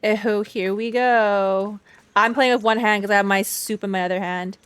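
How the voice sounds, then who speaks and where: A woman's voice: medium pitch, fairly even intonation, normal speed, a bit bright, clean tone, no room sound. A woman game streamer reacting casually and reading game text aloud in a playful, informal way. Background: faint game music.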